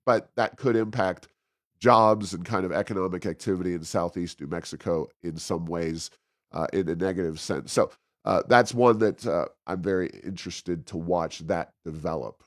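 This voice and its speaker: Low-voiced American man, dry close sound. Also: normal speed, dry recording. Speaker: podcast co-host giving political commentary. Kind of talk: conversational political commentary.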